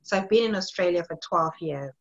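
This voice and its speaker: A woman's voice: on the low side, fairly quick, muffled recording. A woman in her late thirties from Zimbabwe, a fitness coach answering interview questions in a friendly, thoughtful way with some hesitation. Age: 30s.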